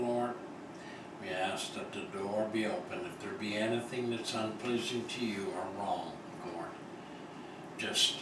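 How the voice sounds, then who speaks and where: A man pastor opening a service with a fervent prayer and interjections of praise. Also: fairly low pitch. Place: church sanctuary. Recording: echoey, distant, noisy church recording.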